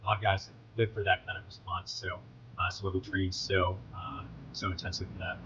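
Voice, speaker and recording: A man's voice: sits low, level tone, brisk, dull audio, background noise. A man speaking as a military pilot, recounting his work in an interview with plenty of jargon.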